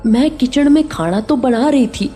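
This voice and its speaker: A woman playing a remorseful older caretaker, halting and sorrowful in an audio horror drama. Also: high voice, warm tone, soft background music, close to an everyday voice. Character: remorseful older female caretaker confessing a terrible mistake.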